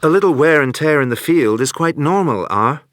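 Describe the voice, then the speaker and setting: A man playing a suave, unflappable secret agent in a video game, delivering cool, deadpan quips. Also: fairly high pitch, normal speed, dry recording, clean studio sound. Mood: cool, wry.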